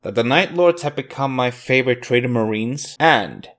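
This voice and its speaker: A man's voice: medium pitch, animated, normal speed, fairly bright tone. A man hosting a video review, giving casual scripted commentary in a jokey, emphatic way.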